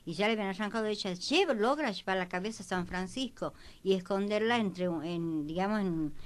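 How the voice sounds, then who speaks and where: A woman with an Argentine accent joins a panel discussion with anecdotes. Her pitch is average for a woman. She speaks conversationally, halting and correcting herself as she goes. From Tucumán, Argentina.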